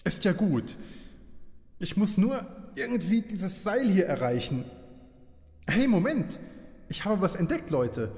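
A man plays an eager, naive young detective in a parody audio drama, earnest and excitable. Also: high-pitched, slow pace, pauses often, exaggerated voice. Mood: excited, curious. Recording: long reverb, faint music.

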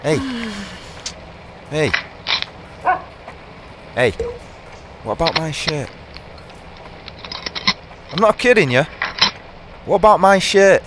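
Man acting an indignant guy, wildly swinging pitch. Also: big pitch swings, slow pace, pauses often, very noisy, full character voice. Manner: whiny, indignant, sweary. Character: exasperated, complaining young guy in an apocalypse. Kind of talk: radio play comic acting.

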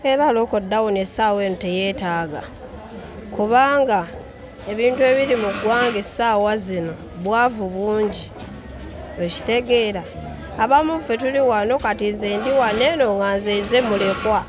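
A woman's voice: mid-range voice, moderate pace. Young Ugandan woman, music behind. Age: young adult. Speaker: market vendor being interviewed. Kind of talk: casual interview answers. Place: open-air market stall.

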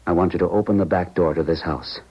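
An actor playing a distraught, grieving professor in a 1970s radio drama, with an agitated, halting, intense delivery. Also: male voice, deep voice, fairly even intonation, breathy edge. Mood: tense, urgent. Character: distraught male professor, grieving widower, insistent. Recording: muffled 1970s radio recording.

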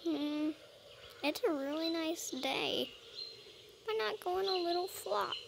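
A woman's voice: very high voice, slow pace, gravelly, slight background noise. A woman playfully role-playing in a video game, musing casually as she plays. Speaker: gamer role-playing as a dragon. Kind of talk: casual gameplay narration with playful role-play. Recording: game music behind the voice.

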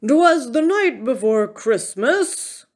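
A woman voicing a gruff, stern, pompous male bear who speaks formally in a children's storybook. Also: high voice, very animated, takes it slowly, heavily acted. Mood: declaiming. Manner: pompous, declamatory, formal wording. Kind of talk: children's storybook character reading.

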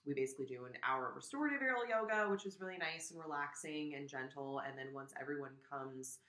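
A woman in her thirties chatting casually as an interview guest, haltingly thinking aloud into a shared tabletop microphone in a small room. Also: low voice, fairly bright tone. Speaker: guest, yoga studio owner. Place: small indoor room with blinds. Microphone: shared USB tabletop microphone. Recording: echoey room.

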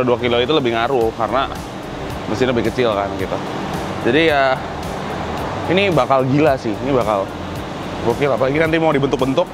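A man's voice: mid-range voice, poor audio. A young man from Indonesia giving a casual, slangy walk-through of his custom workshop, laughing often. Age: young adult. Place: large indoor car workshop.

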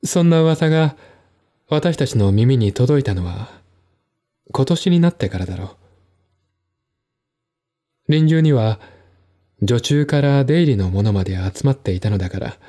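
A man playing a composed, articulate man in a one-man audio drama, explaining things in a measured, reflective way. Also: mid-range voice, expressive, warm tone. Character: composed, articulate man recounting his stepmother's death.

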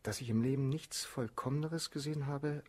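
Man playing a husky, flattering young baron. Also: medium pitch, fairly quick, natural voice. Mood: ardent flattery. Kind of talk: German radio play acting.

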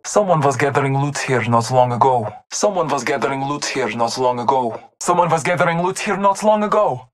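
An actor playing a solemn, devout masked hunter and tracker in a video game, speaking gravely with formal, measured phrasing. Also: medium pitch, close to an everyday voice. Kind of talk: video game character callouts.

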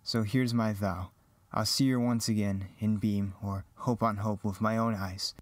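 A man's voice: lowish voice, steady tone, unhurried, no room sound, studio quality, close to an everyday voice. A man playing an earnest, lovestruck young farm boy hero, declaiming verse in an amateur Shakespearean reading. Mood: resolved.

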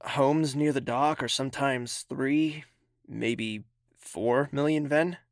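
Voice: medium pitch, fairly even intonation, takes it slowly, dry recording, clean studio sound. An actor playing a haughty, impatient young royal prince in an audio drama, clipped and condescending. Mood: relaxed, familiar.

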